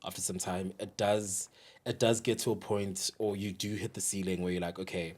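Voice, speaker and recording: A young South African man with a notably deep voice talks as a guest on a casual podcast. He is a DJ and producer, and his delivery is candid and conversational. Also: little pitch movement, moderate pace, a little mellow, slightly rough.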